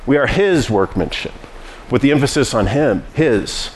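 A man's voice: medium pitch, lively intonation, moderate pace. Guy preaching, talky teaching style, restarts a lot, bit of hiss behind. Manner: conversational teaching with frequent restarts. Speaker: pastor. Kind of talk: sermon.

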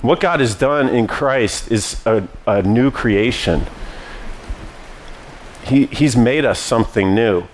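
A man's voice: medium pitch, animated, takes it slowly, a little hiss or hum. A man preaching a sermon as pastor, teaching in a conversational way with frequent restarts.

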